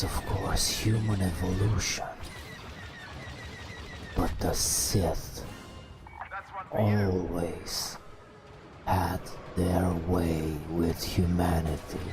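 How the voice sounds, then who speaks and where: Male ASMR storyteller: hushed, breathy, low and creaky, halting phrases with music underneath. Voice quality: soft, breathy, often whispering. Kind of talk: ASMR bedtime story narration.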